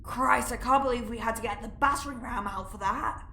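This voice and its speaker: A curt, echoey prison guard. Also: female voice, fairly high pitch, a little fast, pushed and bright, a little hiss or hum. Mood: exasperated, guarded. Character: weary, grumbling, secretive prison guard named Edmund. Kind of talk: audio drama acting. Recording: echoey room.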